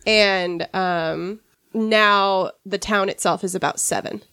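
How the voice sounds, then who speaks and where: A woman's voice: mid-range voice, steady tone, takes it slowly, sharp tone, clean tone, no echo. A woman from rural Washington hosting a casual podcast discussion, sniffly from a cold and chatty, with wry asides. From rural Washington, USA. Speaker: podcast host.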